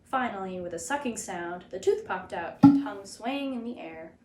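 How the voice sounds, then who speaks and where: A woman narrating a children's story as a reader, in an expressive storytelling style. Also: medium pitch, normal speed. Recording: echoey room, faint background noise.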